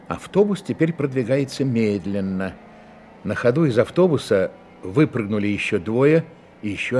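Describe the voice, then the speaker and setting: A man narrating a Soviet radio drama as a sober, measured, reflective storyteller of a detective tale. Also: mid-range voice, darkish tone, slight background noise, close to an everyday voice.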